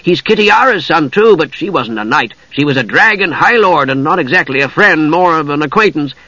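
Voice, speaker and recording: A man voicing an excitable, chatty, childlike small male kender in a fantasy audiobook, with an eager, enthusiastic manner. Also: high-pitched, a little slow, slightly rough, no echo, pitched above the performer's own voice, heavily acted, poor audio.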